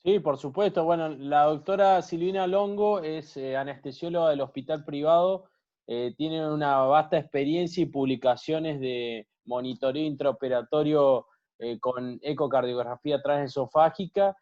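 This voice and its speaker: A man's voice: fairly high pitch, fairly even intonation, normal speed, darkish tone. A man from Argentina, a doctor making a formal introduction over muffled video-call audio. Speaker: intensive care doctor.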